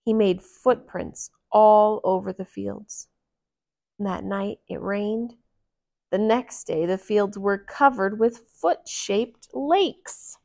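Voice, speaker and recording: A woman reading a picture book aloud to young children in a gentle, deliberate manner. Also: medium pitch, gravelly. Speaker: children's storybook reader.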